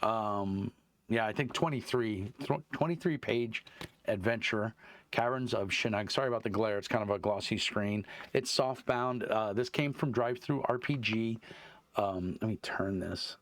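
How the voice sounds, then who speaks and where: A man with a deep voice hosting his tabletop game review channel, rambling casually with lots of fillers and restarts. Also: measured pace. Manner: meandering, many fillers and restarts. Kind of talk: casual rambling chat to camera. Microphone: wireless clip-on mic.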